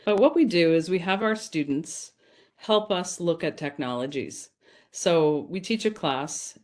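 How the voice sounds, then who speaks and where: A woman's voice: low-pitched, darkish tone. A woman presenting her research in a conversational academic lecture, speaking informally and addressing audience members directly.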